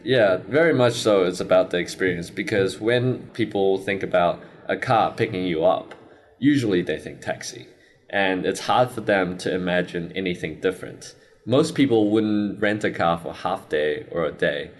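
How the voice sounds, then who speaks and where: A young man from China and Hong Kong answering interview questions conversationally, pausing mid-sentence to find his words. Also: low-pitched. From born in China, early childhood in Hong Kong, then US. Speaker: interview guest, business expansion manager. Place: sit-down interview in Shenzhen.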